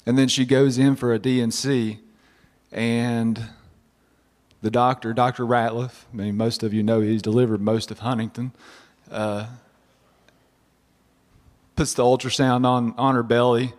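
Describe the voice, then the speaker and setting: A man, giving a halting personal testimony in church, his voice husky from a cold. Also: mid-range voice, flat delivery, a little mellow. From southern West Virginia. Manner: halting, many restarts and fillers. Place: church sanctuary. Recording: church hall reverb.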